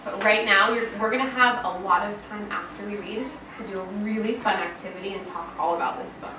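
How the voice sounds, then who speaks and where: A woman schoolteacher reading a picture book aloud to a class, with dramatic, expressive storytelling and emphasis on key words. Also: medium pitch, a little fast. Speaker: elementary school teacher. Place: indoor school classroom, with children present. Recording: roomy, distant, noisy classroom recording.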